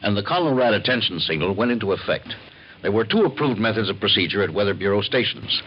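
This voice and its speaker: An American man playing an authoritative explainer, formal and measured, in mid-century radio documentary style. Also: lowish voice, lively intonation, slight background noise. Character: authoritative male explainer of civil defense procedures. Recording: muffled old radio recording, loud music.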